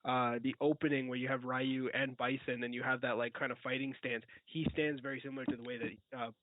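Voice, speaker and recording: A man co-hosting a casual film chat, rambling and halting as he repeats his words. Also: medium pitch, level tone, dry recording. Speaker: podcast co-host. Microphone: remote online call. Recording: phone-like, dry, lagging call audio.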